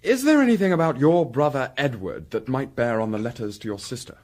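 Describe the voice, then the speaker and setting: A courteous English gentleman scholar played by a man in a Victorian radio drama. His mid-pitched voice moves in lively swings, yet he stays articulate and dryly ironic, probing with care. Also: fairly quick, natural voice. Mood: probing, polite. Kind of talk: period radio drama acting.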